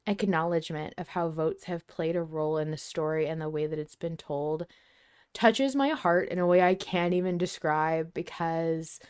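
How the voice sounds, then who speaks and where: Lower-pitched woman, candid podcast co-host. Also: moderate pace, darkish tone, smooth. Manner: enthusiastic, candid, casually sweary. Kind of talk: casual podcast discussion.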